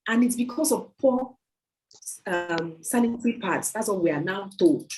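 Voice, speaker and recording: A woman hosting a video call meeting, giving an emphatic, repetitive sales pitch and taking questions. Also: expressive, normal speed, a little mellow, a little hiss or hum, low-quality recording. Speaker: host of a product sales meeting. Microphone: computer mic on a video call.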